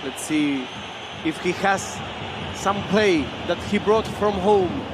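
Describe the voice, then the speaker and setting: Man, Argentine Spanish accent, friendly co-commentator, repeats phrases, high voice, noisy venue. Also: takes it slowly. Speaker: esports football co-commentator. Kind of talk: color commentary. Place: live event venue. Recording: loud noise behind the voice.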